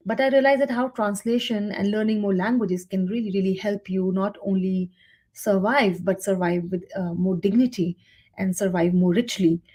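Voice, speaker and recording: Woman, middle-aged, mellow, husky, thoughtful, halting. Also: medium pitch, fairly even intonation. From India. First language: Hindi. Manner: thoughtful, with many fillers and restarts. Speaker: guest writer and translator. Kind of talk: conversational interview answers.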